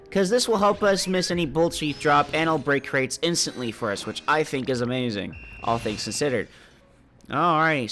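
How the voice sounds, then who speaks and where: A man's voice: on the high side, fairly bright tone, a little hiss or hum. A man with a stuffy, congested nose, chatting casually as host of a let's play. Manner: chatty with fillers and laughs. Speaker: gaming channel host.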